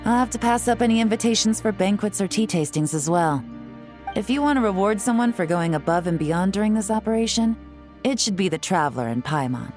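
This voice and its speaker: An actress playing a cool, sardonic young female detective in a video game, composed, measured and wry. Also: medium pitch, lively intonation, darkish tone, faint music behind, natural voice. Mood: composed, gracious. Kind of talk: dramatic game voice acting.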